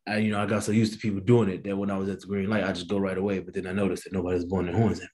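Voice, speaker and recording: A man in his thirties talks easily as a guest on a chat podcast. His voice sits on the low side, and his delivery is laid-back and unhurried. He tells his stories in plain, slangy conversation. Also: level tone, darkish tone. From East Saint Louis, Illinois. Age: 30s.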